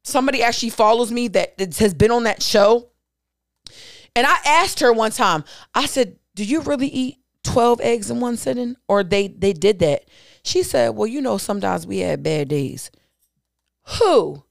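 Woman, casual chat, candid and profane, fairly high voice, dry sound. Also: normal speed, gravelly, dry recording. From Puerto Rican family. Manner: candid, profane, animated storytelling.

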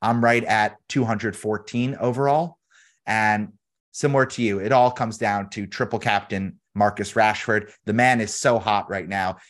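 A man's voice: lowish voice, steady tone, normal speed, a bit bright. Upbeat hyped male podcast host. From United States. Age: adult, has a daughter. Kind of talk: casual podcast chat.

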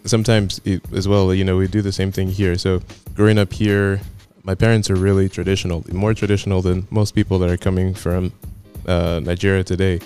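A man in his twenties, a podcast guest telling his story in a laid-back, chatty way with soft laughs. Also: sits low, flat delivery, a little fast, few pauses, faint music behind. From United States. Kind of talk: casual chat.

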